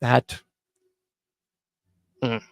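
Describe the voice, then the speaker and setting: A man's voice: very slow, no echo. A man hosting an open mic show, reading news stories aloud and chatting about them with frequent restarts. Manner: chatty, reads news aloud then riffs with many restarts. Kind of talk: casual commentary on news stories.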